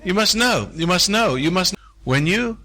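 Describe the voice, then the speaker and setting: A man's voice: high-pitched, expressive, moderate pace, sharp tone. An older man speaking to a live seminar audience in a hotel room, folksy and preacherly, repeating phrases for emphasis.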